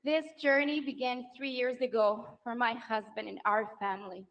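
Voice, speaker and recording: A high-pitched woman delivers a heartfelt rally speech on a handheld mic. She rises from soft, emotional passages to shouted lines over a big outdoor crowd. The broadcast sound is a little dull. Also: fairly even intonation, moderate pace. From Venezuela. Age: 30s. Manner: emotional, rousing, builds to shouted applause lines. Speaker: political spouse introducing candidate.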